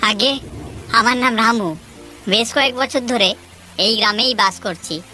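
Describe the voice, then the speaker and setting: Woman doing a poor honest village guy, polite and eager to help, pitched up, music behind. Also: unhurried, bright, forceful tone. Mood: humble, helpful. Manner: deferential, polite.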